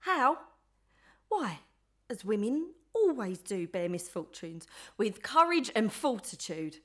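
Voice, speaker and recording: A woman's voice: mid-range voice, very animated, takes it slowly, a bit bright, heavily acted. A woman playing a chatty, plain-spoken, loyal lady's maid in a Victorian melodrama audio play. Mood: gossipy, opinionated.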